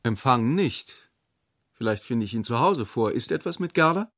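An actor playing a cool, evasive gentleman intellectual in a radio drama, reserved and dryly ironic. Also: medium pitch, animated, moderate pace, no room sound, studio quality. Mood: guarded, curious.

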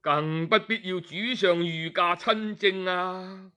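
A man's voice: high voice, slow pace, sharp tone, clear, resonant tone, exaggerated voice. A man voicing a cautious royal adviser who pleads respectfully with his sovereign, in an audiobook tale. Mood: pleading. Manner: pleading, deferential.

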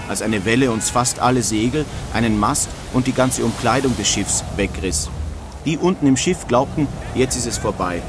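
A man's voice: mid-range voice, noisy background, natural voice. An actor playing an earnest nineteenth-century emigrant, reading his letters home in a dramatized style. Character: earnest male 19th-century German emigrant writing home.

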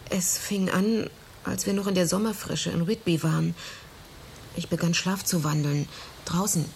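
An actress playing a languid, ailing young woman in a radio horror drama, faint and halting. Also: fairly low pitch, steady tone, vocal fry, darkish tone, slight background noise. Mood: reluctant, troubled. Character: weary, ailing young woman, evasive and dreamy.

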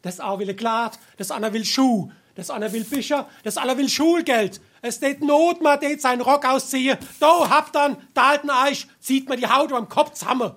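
A man playing a grumbling, henpecked family father in a Darmstadt Hessian dialect tavern comedy, exasperated and husky. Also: very high voice, moderate pace, few pauses, fairly bright tone, exaggerated voice. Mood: exasperated ranting. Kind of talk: dialect stage comedy acting.

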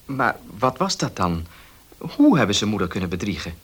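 A man's voice: lowish voice, sing-song, moderate pace, fairly bright tone, husky, full character voice. An actor in a radio play as a respectful, concerned grown son, earnest and polite as he questions his father. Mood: questioning. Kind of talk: Dutch radio play acting.

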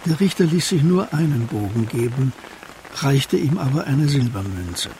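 A man narrating a radio drama as a scripted literary story, in a composed and deliberate manner. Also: medium pitch, expressive, noisy background, natural voice.

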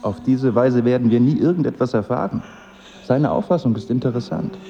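An actor playing a courteous, inquisitive wanderer with a formal manner in a literary radio drama. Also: male voice, mid-range voice, brisk, vocal fry, warm tone, highs cut off, lightly acted. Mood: curious, courteous. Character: courteous, curious, pondering male wanderer.

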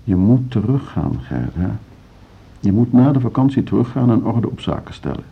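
An actor playing an earnest, indignant lover of classical music in a radio drama, halting yet emphatic. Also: male voice, on the low side, expressive, gravelly, breathy edge, full character voice. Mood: indignant, pleading. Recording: dull radio play recording.

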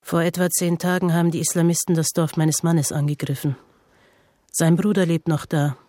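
Eine Schauspielerin spielt in einem Hörspiel eine müde, wachsame Mutter mittleren Alters, sachlich und reserviert. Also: female voice, deep voice, fairly even intonation, normal speed, dark tone, no echo, clean studio sound. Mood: guarded, subdued. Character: guarded, weary Algerian-French mother, middle-aged. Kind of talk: radio drama dialogue.

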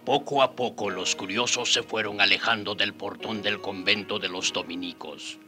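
An actor playing a sober, reflective storyteller who narrates a radio drama in a measured manner. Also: male voice, lowish voice, fairly even intonation, music in the background, lightly acted.

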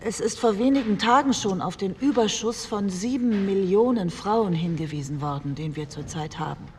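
An actress playing an earnest postwar parliamentarian, making a measured and formal plea. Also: female voice, mid-range voice, close to an everyday voice. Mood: thoughtful. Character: earnest female parliamentarian pleading for children's rights. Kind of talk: re-enacted parliamentary speech. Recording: hall reverb, faint ambient noise.

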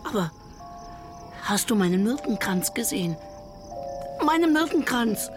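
A woman's voice: on the high side, sing-song, unhurried, over music. A woman playing a bewildered, childlike village woman in a German radio drama, dreamy and insistent. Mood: fretful, lost.

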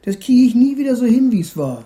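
A woman's voice: on the high side, very animated, a little fast, dark tone. A woman playing a fussy, nagging, house-proud partner of a dealer in a radio crime drama. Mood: fussy, petulant.

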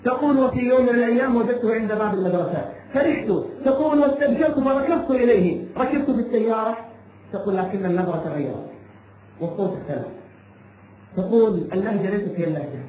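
A man's voice: high-pitched, fast talker, mellow. A man preaching an impassioned sermon, telling a cautionary tale in short, emphatic phrases with dramatic repetition. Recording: echoey room sound with light background noise.

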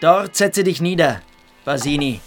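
A man's voice: on the high side, expressive, unhurried, music in the background. A man playing a cruel, domineering cadet bully in a radio drama, commanding and scornful. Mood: scornful menace. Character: domineering, cruel cadet bully at a boys' military boarding school. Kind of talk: literary radio drama acting.

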